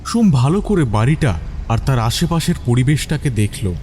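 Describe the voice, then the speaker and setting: Mellow, expressive male story narrator. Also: medium pitch, moderate pace, a little hiss or hum. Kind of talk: scripted dramatic story narration.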